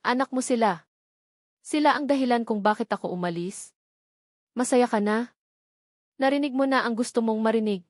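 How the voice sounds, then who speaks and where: A woman's voice: a little high, moderate pace, long pauses, dry recording, clean studio sound, natural voice. A woman voicing a frightened, defensive single mother burdened with guilt in a romantic drama story. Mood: pained outburst.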